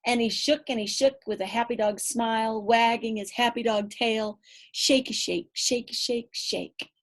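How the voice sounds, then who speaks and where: A woman's voice: on the high side, fairly even intonation. A woman storyteller reading a picture book to children, playful and rhythmic, with chatty asides. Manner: playful, drawn-out, rhythmic delivery. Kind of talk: animated picture-book read-aloud with asides to young listeners.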